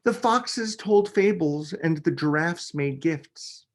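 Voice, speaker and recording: Very animated, unhurried man reading aloud. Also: a little high. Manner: expressive, dramatic delivery with emphasis on key words. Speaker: children's book author reading aloud. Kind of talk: animated picture-book read-aloud.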